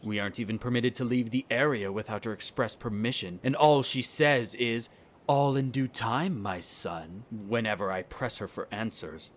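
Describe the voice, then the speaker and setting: A man acts out a haughty vampire aristocrat in an audio roleplay. His voice sits at a middle male pitch, and his words are formal, sardonic and full of disdain for humans. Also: normal speed, natural voice. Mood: suspicious, explaining. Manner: condescending, sardonic, formal wording. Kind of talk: scripted roleplay audio drama.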